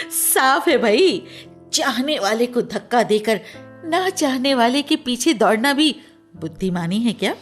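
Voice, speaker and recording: An actress playing a nosy, teasing young female friend in a radio play, with a lively theatrical manner. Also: on the high side, slow, breathy edge, soft background music, exaggerated voice. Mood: pointed. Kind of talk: Hindi radio play acting.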